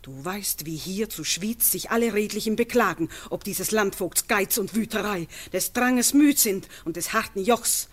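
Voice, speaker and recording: An actress playing a wise, courageous farmer's wife in a classic radio drama, speaking verse earnestly and persuasively. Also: female voice, mid-range voice, normal speed, hardly any pauses, slightly rough, lightly acted.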